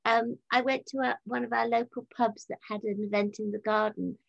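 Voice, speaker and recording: Husky, creaky woman in her seventies. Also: a little high, little pitch movement, fairly quick, no echo. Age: 70s. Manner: chatty storyteller, quotes people as she goes. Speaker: interview guest, leader of a community organisation. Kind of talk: casual anecdotal interview talk. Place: remote video call. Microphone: video call. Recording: dull, lo-fi video-call audio.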